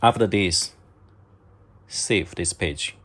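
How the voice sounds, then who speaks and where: A man narrating step-by-step instructions in halting, short clipped phrases, as a product demonstrator. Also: low voice, a bit bright. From China.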